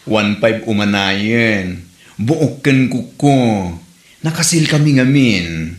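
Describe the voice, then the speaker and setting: A man's voice: fairly low pitch, slow, echoey room. A man playing a sassy parlor beautician in an Ilocano comedy drama, with an Ilocano Filipino accent. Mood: businesslike. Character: sassy parlor beautician selling makeover training.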